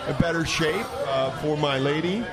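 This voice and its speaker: A man's voice: a little high, moderate pace. A man hosting a casual podcast chat, bantering in a rambling, jokey way. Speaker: podcast host. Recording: outdoor urban spot with loud crowd.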